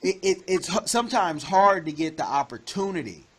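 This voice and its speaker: A man hosting an interview, chatty and advising, with jokes and loud laughs. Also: high voice, lively intonation, normal speed, breathy edge. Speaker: interview host. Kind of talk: casual interview chat.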